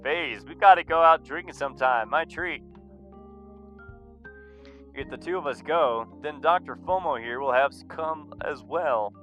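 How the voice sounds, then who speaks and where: A man's voice: a little high, a little slow, a bit bright, highs cut off, soft background music. A man voicing a boisterous, friendly male animal character in a visual novel reading. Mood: teasing.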